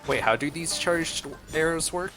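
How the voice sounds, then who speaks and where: A man's voice: on the high side, moderate pace. A man giving casual reaction commentary as he streams a video game. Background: game music and battle sounds.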